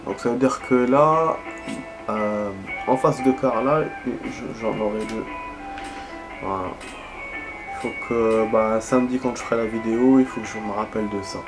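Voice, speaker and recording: A man's voice: lowish voice, a little slow. Enrhumed man chatting over game music. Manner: rambling, chatty, addresses viewers as friends. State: congested with a head cold. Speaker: gaming video host. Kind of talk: casual gameplay commentary.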